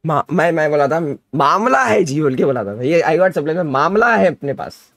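A man's voice: a little high, normal speed, crowd noise. A man in his twenties with an Indian accent, chatting casually on a gaming livestream, repeating himself in slangy hype. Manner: repetitive, animated slangy hype. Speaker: gaming streamer. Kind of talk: casual excited livestream chat. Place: indoor streaming setup at a desk.

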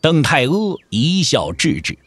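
A man's voice: a little high, wildly swooping pitch, measured pace, fairly bright tone, breathy edge, no room sound, soft background music. A man narrating a martial-arts audio drama in a dramatic storytelling style.

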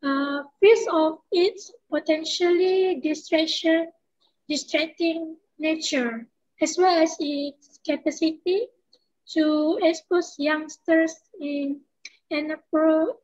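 A young woman with a Malaysian accent reading a prepared panel answer over a video call, halting, with fillers and self-corrections. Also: high-pitched, highs cut off. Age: young adult. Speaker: student panelist. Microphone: laptop microphone on video call.

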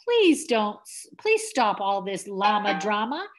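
A woman's voice: medium pitch, big pitch swings, slow pace. A woman voicing a busy mother llama from a picture book, firm but loving, speaking emphatically. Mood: exasperated. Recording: music playing behind the voice.

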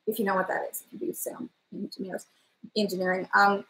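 A woman's voice: mid-range voice, fairly quick, roomy sound, slight background noise, rough recording. A middle-aged woman chatting animatedly on a live stream from her home kitchen, with a powerful trained voice, recorded on a webcam. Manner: chatty and animated, gesturing, breaks into song. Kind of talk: casual live-stream chat. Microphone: webcam at desk distance.

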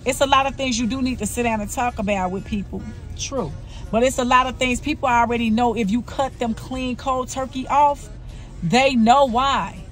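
Woman in her 40s, earnest personal vlogger, soft music under her voice. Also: on the high side, normal speed. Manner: earnest, confessional, repeats herself. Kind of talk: candid personal vlog monologue.

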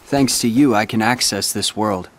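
A man's voice: on the low side, normal speed, background noise, natural voice. A young man playing a brooding, self-sacrificing teenage boy warrior in a game cutscene, grave and restrained. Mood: somber, earnest. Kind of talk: video game cutscene acting.

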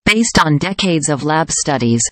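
A woman narrating a scripted news explainer in an even, measured read. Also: low-pitched, no room sound. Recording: voice-over with loud music bed.

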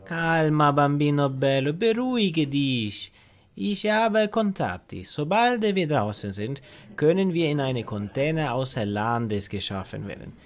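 Lively Italian prison schemer. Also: male voice, on the high side. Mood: conspiratorial. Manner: halting, peppered with Italian words, broken grammar. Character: scheming, sentimental Italian male prison inmate. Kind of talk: parody audio drama acting.

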